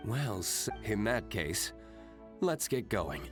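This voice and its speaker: An actor playing a composed, brooding young gentleman vigilante in a video game, speaking in a measured, formal, restrained way. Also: fairly low pitch, sing-song. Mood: resolute. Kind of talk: video game character acting. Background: game music.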